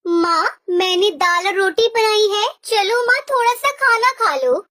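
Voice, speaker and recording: Woman doing a super high bright little girl bird, earnest n pleading, kids cartoon. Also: lively intonation, measured pace, full character voice. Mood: gentle pleading. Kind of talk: Hindi kids' cartoon voice acting.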